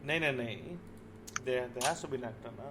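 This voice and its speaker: A man's voice: mid-range voice, brisk, a bit bright. A man in a casual group discussion as a podcast co-host, informal and insistent in his manner.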